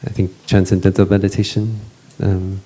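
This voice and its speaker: A man's voice: sits low, little pitch movement, moderate pace, dark tone, poor audio. A middle-aged Western man introducing a guest to a retreat gathering, hesitant, with many fillers and restarts. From Western country. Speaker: retreat panel moderator.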